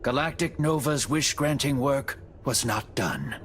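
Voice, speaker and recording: A man narrating a scripted story in a solemn, portentous and measured manner, like a dramatic game cutscene. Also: mid-range voice, expressive, a bit bright, slight background noise. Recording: music under the voice. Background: background music.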